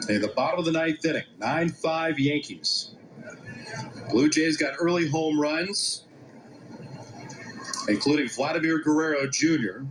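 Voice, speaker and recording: A man does live baseball play-by-play. His voice sits a little higher than usual for a man, and loud music runs behind his commentary. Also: rough recording.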